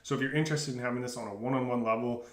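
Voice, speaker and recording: A man's voice: mid-range voice, very fast. Chatty Canadian man coaching runners. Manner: animated, acts out runners' thoughts in quoted asides; ends points with "right?". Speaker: running coach and host. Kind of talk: casual coaching chat over a call.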